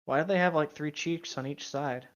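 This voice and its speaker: Mid pitch host joking around and reading stories out loud, casual, playful, quippy. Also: normal speed, highs cut off. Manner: playful, interjecting quips. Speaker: host of a bad fan fiction reading show.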